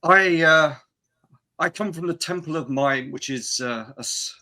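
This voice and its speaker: A man playing an absurdly solemn mime adventurer, telling his tale in a deadpan, halting way in a tabletop roleplaying game. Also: a little high, pitched above the performer's own voice. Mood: mock-serious.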